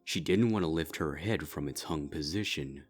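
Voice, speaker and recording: A man reading a dark fanfiction story aloud in a slow, grave, steady narrating style. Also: very deep voice, faint music behind. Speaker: fanfiction reader.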